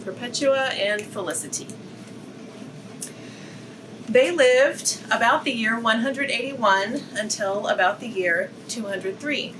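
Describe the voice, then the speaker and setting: A woman's voice: a little high, slow, fairly bright tone, a little hiss or hum, low-quality recording. A woman hosting a read-aloud, narrating a story for children.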